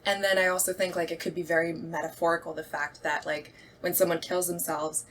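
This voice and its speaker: A woman's voice: lowish voice, level tone, fairly quick, a bit bright, slight background noise. A woman in a casual chat as a director, explaining her thoughts in a reflective way. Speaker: radio play director and actress.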